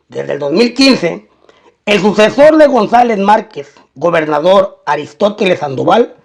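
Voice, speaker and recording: A man narrates a scripted crime story. He tells it documentary style, dropping in colloquial slang along the way. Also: high voice.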